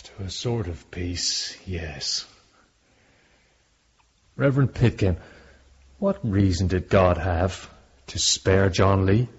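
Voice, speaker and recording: Radio drama penitent: low, slow, troubled, halting and formal, on a slightly muffled recording. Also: male voice, husky, heavily acted. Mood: bleak, sombre. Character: troubled, sombre male penitent haunted by the past. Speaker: actor.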